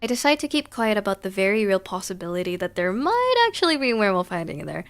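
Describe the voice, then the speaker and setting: A woman's voice: mid-range voice, sing-song, fast, smooth. A woman livestreaming a game, switching between casual, playful chat and reading the game's text aloud. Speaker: streamer playing and reading aloud a horror game. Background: music.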